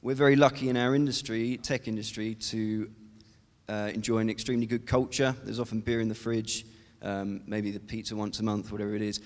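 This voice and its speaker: A man, a developer, giving a chatty startup pitch with frequent ums and uhs. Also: fairly even intonation, fairly quick, darkish tone. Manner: chatty, with many fillers. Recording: long hall reverb.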